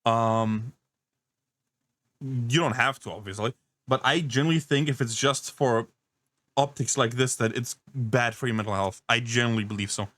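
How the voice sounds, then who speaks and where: A man's voice: medium pitch, normal speed, a bit bright, no room sound. Incredulous swearing man, dry close sound. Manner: incredulous, swears a lot, rambling. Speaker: reaction streamer. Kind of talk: casual reaction commentary.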